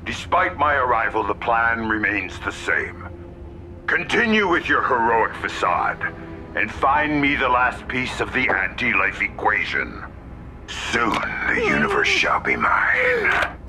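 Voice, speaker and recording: Darkseid, a deep-voiced alien tyrant. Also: male voice, huge pitch swings, takes it slowly, pushed and bright, slight background noise, exaggerated voice. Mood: menacing. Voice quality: deep, commanding. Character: menacing alien tyrant, male, huge and cold. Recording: game soundtrack music behind voice.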